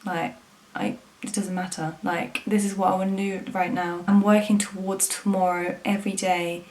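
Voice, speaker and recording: A woman's voice: mid-range voice, flat delivery, normal speed, a little mellow, low-quality recording. A woman, talking frankly and casually to camera, swearing now and then. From England. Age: 20s. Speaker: vlogger.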